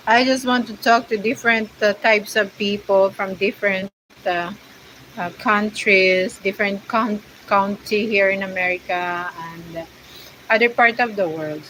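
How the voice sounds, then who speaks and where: Halting Filipino woman chatting on a livestream. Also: mid-range voice, fairly bright tone, slight background noise, rough recording. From Philippines. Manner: hesitant, halting English with many fillers. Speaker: vlogger hosting a livestream. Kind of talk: casual livestream chat reading viewer comments.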